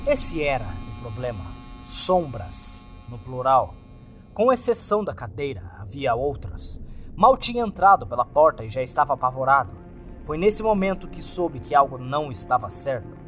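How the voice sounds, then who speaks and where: Brazilian man narrating horror, lively intonation. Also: mid-range voice, normal speed. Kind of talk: scripted first-person horror narration. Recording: background music under the voice. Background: music.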